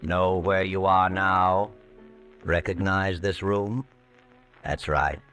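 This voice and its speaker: An actor playing a sinister, taunting narrator in an old-time radio suspense drama, mocking and ominous like a menacing inner voice. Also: male voice, sits low, flat delivery, takes it slowly, fairly bright tone, faint music behind.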